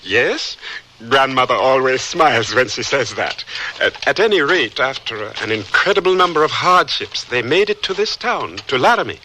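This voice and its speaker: Polite young Liberian gentleman, eager and hesitant, formal wording, expressive pitch, radio drama, noticeable noise. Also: moderate pace. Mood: eager, storytelling. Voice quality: hesitant, stammering delivery. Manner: earnest, formal, courteous. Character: eager, polite, educated young Liberian man newly arrived. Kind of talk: old-time radio drama acting. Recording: noisy radio drama recording.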